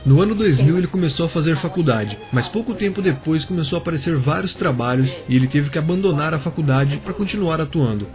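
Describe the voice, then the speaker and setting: A man narrates a scripted biography for his channel. His voice sits a little high, and he talks casually and straight to the viewers. Soft music plays under him. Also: lively intonation, quick pace, hardly any pauses. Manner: casual, addresses viewers directly. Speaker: YouTube channel host.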